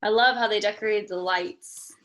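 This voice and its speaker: A woman's voice: mid-range voice, fairly even intonation, normal speed, fairly bright tone, slight background noise, poor audio. A woman reading a children's picture book aloud expressively, with chatty asides spoken directly to young listeners. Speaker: storytime reader.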